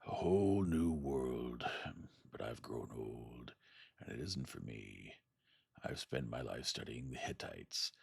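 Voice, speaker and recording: A weary old scholar with a hushed, slow delivery, kindly even while he refuses, as a man reads him in a gentle storybook voice. The tone sits very low and gravelly with a breathy edge, and the recording is close and dry. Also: no echo, full character voice. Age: older.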